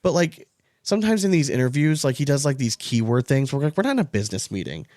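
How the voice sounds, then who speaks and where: Male podcast co-host, rapid rambling casual talk, mid-range pitch, loose and sweary. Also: very animated, a little mellow, no echo, studio quality. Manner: informal, swearing, rambling with frequent "like". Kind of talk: casual sports podcast chat.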